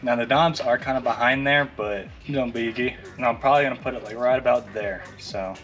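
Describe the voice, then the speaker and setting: A man's voice: mid-range voice, fairly quick. A man giving a casual, unscripted how-to explanation as a vlogger, in a loose, informal and slightly hesitant way. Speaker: vlogger presenting a DIY tutorial. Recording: very echoey, distant mic, faint music.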